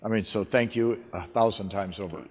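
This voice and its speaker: A man's voice: fairly low pitch, normal speed. A man hosting a live on-stage interview, encouraging and often repeating answers back. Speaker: seminar host interviewing attendees on stage. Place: large event hall with audience. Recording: echoey hall with clear background noise.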